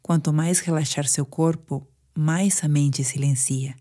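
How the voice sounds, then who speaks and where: A woman with a Brazilian Portuguese accent guiding a sleep meditation in a soothing, hushed manner with pauses. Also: low voice, steady tone. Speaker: meditation guide. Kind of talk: guided sleep meditation narration.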